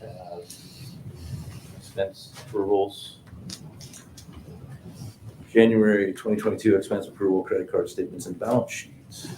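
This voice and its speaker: Deep-voiced man chairing in an echoey room. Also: little pitch movement, unhurried, long pauses. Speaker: town council president chairing a meeting. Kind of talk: formal meeting procedure. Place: indoor council meeting room. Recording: echoey meeting room, clear background noise.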